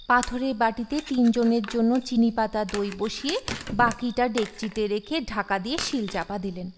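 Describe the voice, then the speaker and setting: Woman, audio story narrator, higher-pitched voice, scripted reading, reverberant sound, background music. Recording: long reverb over the voice.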